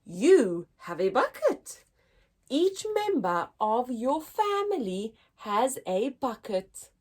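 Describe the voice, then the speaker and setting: A woman hosting a children's story time, reading a picture book aloud in an expressive, deliberate, teacher-like way for young listeners. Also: fairly high pitch.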